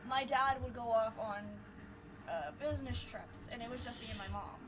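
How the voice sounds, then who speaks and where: A woman's voice: on the high side, moderate pace. A woman co-hosting a podcast, chatting enthusiastically with her co-host. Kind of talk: casual chat. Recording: distant mic with faint noise.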